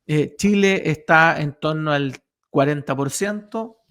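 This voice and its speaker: A man's voice: on the high side, a little slow, fairly bright tone. A man hosting a radio interview who hesitates with many "eh" fillers and shifts between Spanish and Portuguese. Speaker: radio show co-host.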